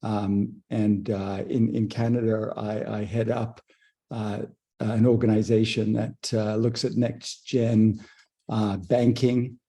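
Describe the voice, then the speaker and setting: A man born in Zambia introducing himself on a video call, halting often with fillers and restarts. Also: lowish voice, level tone, warm tone, husky. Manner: hesitant, many fillers and restarts. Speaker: fintech leader and investor.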